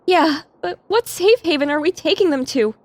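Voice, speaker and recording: A woman's voice: sits high, lively intonation, normal speed. A woman voicing a troubled young filly survivor, blunt and emotional, in an audio drama. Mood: frustrated. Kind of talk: audio drama character acting.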